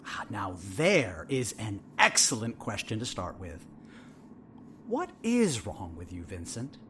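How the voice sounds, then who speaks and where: A man playing a sinister, smug therapist in a horror audio drama, measured and theatrical, with a falsely soothing, condescending manner. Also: fairly low pitch, huge pitch swings, a bit bright, a little hiss or hum, exaggerated voice. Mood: probing, needling.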